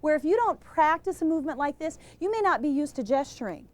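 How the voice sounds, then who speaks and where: A woman giving an energetic lesson on public speaking to a live group, emphatic and quizzing the room. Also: high voice, fast. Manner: energetic, emphatic, asks questions of the audience. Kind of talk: instructional talk to a live group.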